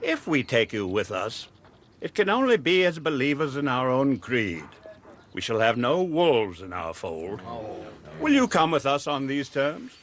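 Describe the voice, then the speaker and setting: Commanding prophet with sweeping intonation. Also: male voice, mid-range voice, wildly swooping pitch, measured pace, a bit bright. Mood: solemn, stern. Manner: stately, oratorical. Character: solemn, commanding religious prophet leading a wagon train. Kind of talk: radio drama acting.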